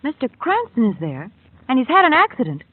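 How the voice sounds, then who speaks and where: A woman's voice: high-pitched, wildly swooping pitch, fairly quick, breathy edge, dry recording, exaggerated voice. An actress playing a poised young woman who is the hero's companion in an old radio drama. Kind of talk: 1940s radio acting. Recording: tinny old radio broadcast with faint hiss.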